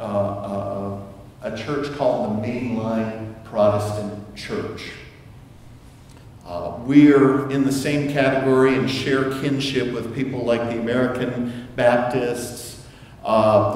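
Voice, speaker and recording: A middle-aged man preaching a sermon to his congregation in a relaxed, conversational way, with asides and restarts. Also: medium pitch. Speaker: pastor. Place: church sanctuary. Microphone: far camera, room sound. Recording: echoey church hall from far off.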